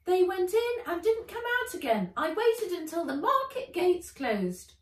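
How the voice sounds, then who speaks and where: A woman's voice: very high-pitched, sing-song, a little slow, reverberant, far from the mic, voice pushed up, full character voice. A woman voicing a surveillance robot servant in a children's storybook, giving precise, formal reports to its mistress. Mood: dutiful reporting.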